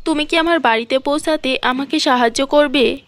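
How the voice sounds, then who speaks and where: A woman's voice: high-pitched, moderate pace, lightly acted. A woman voicing a greedy, scheming sorceress with dramatic, calculating delivery in a cartoon fairy tale. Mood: feigned pleading. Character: scheming, greedy female sorceress feigning helplessness.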